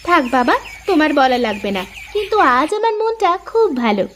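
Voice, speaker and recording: A woman voicing a young, outspoken daughter-in-law in a cartoon, pert and expressive in manner. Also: high voice, fairly quick, slight background noise. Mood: pert, chatty. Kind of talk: dubbed moral cartoon dialogue.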